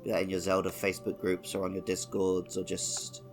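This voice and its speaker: A man's voice: sits low, completely flat delivery, a little fast, soft background music. A man co-hosting a casual video game podcast in a chatty, tongue-in-cheek way. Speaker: podcast co-host.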